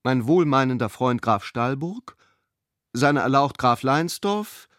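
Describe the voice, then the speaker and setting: A man's voice: mid-range voice, lively intonation, slow pace, a bit bright, no echo, studio quality. A man voicing a pompous elderly jurist father. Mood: solemn, admonishing. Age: older. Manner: formal, pompous, long-winded. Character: pedantic, self-important elderly father, a jurist, dictating a letter. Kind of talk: letter read aloud in radio drama.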